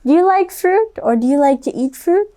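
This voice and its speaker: A woman hosting a children's read-aloud, speaking directly to young listeners in a gently instructive way. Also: high voice, animated, normal speed. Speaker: storybook reader and host.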